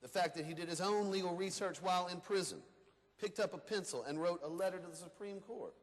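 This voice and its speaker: A man's voice: high voice, fairly quick, natural voice. A man playing a seasoned, proud and earnest defense attorney, addressing listeners directly in an emphatic scripted first-person reading. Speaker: reader in a readers theater re-enactment. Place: large hall before an audience. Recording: echoey hall with long reverb.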